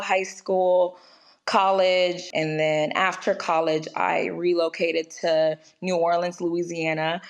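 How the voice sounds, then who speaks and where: A woman in her twenties from Ghana, a nurse chatting casually as an interview guest with lots of "like" and "you know". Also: on the low side. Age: 20s.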